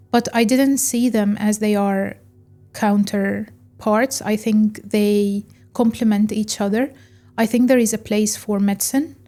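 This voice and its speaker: A woman from Egypt hosting a solo podcast, speaking in a calm, measured way with pauses and fillers. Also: medium pitch. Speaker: podcast host. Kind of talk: reflective solo podcast talk.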